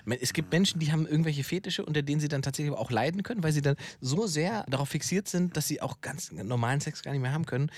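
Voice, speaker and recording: A man's voice: a little high, quick pace, few pauses, darkish tone, no echo. A man talking casually as a podcast co-host, explaining his views at length in blunt, colloquial language. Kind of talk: casual conversational discussion.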